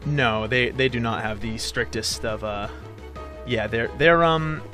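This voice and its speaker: A man's voice: medium pitch, unhurried, bright, forceful tone, faint music behind. A man giving casual, hesitant, rambling commentary as he hosts a video game playthrough. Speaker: let's play host.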